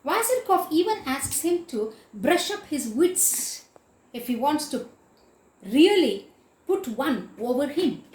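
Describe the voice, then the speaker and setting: A woman's voice: high voice, sing-song, slow, a little mellow. A woman teacher giving an explanatory literature lecture in a didactic, emphatic way, repeating and spelling out key words. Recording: echoey room.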